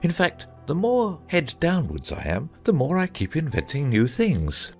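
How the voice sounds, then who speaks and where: A man's voice: fairly high pitch, wildly swooping pitch, unhurried, soft background music, exaggerated voice. Man playing a dotty, swooping storybook old knight. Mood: absorbed, self-assured. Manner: earnest, rambling, thoughtful. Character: gentle, dotty old male knight, solemn muddled inventor. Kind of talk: dramatized audiobook acting.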